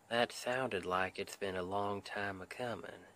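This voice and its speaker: A man playing a tender, reassuring Southern husband in an intimate ASMR roleplay, with a Southern US accent and a soothing, gentle, often half-whispered delivery. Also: on the low side, slow pace. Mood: gently concerned. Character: tender, reassuring Southern husband comforting his stressed wife.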